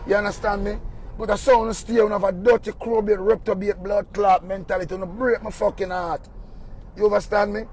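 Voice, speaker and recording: A man's voice: sits high, flat delivery, fairly quick, darkish tone, slight background noise. A man with a Jamaican accent ranting angrily, swearing and firing questions at the viewer. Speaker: vlogger ranting about stolen cargo. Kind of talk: angry rant.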